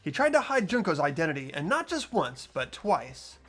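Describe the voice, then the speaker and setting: A man's voice: a little high, sing-song, moderate pace, a bit bright, faint music behind. A man playing an earnest, determined teenage boy detective in an amateur video game dub, insistent and accusatory.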